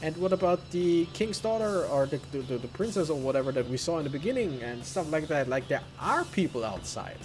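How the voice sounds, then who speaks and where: A man's voice: on the high side, lively intonation, fairly quick, hardly any pauses. A man giving casual, rambling commentary as he plays through a video game, musing aloud. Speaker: video game let's player. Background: faint game music.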